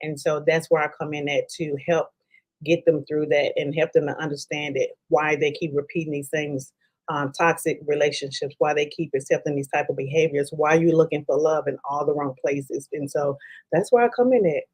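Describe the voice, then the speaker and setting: Low-voiced Texan woman, halting and earnest. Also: fairly even intonation, darkish tone. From Dallas, Texas. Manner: halting, many ums and restarts. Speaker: podcast guest, life coach. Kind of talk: conversational interview.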